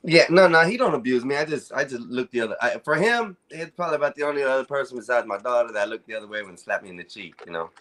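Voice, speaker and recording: A man with a deep voice who grew up speaking Spanish and English, chatting casually as a stream guest in a mumbling, self-deprecating way. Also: expressive, fast talker, a bit bright. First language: bilingual Spanish and English. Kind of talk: casual livestream chat.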